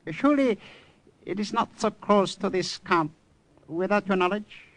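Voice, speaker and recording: An actor playing a cold, officious Japanese army colonel in an old-time radio drama, speaking formally and deliberately. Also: male voice, high voice, expressive, a bit bright, no room sound. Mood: coldly official. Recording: vintage radio drama recording.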